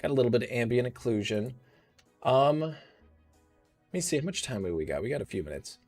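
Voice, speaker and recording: A man in his thirties hosting a live software tutorial, thinking aloud in a relaxed way, his voice a little rough. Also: medium pitch, a little fast, faint music behind. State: slightly rough voice. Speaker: host.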